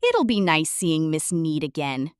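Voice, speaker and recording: A woman puts on a hopelessly muddled, cheerful man from a children's storybook. He sounds bumbling, chirpy and easygoing. The delivery is very animated and the recording is dry. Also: low-pitched, measured pace, clear, resonant tone, no echo, pitched below the performer's own voice. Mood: chuckling, content.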